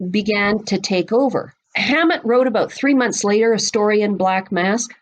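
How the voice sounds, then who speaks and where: Woman guest on a podcast explaining stuff, emphatic, mid-pitched voice. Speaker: guest expert and bookseller. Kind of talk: podcast interview, explaining literary history.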